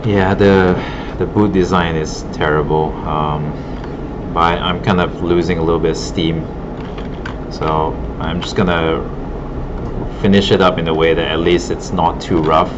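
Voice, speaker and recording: A man teaching art, giving a casual, halting demo commentary in a classroom, thinking aloud as he goes. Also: sits low, level tone. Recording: muffled broken audio, loud room noise.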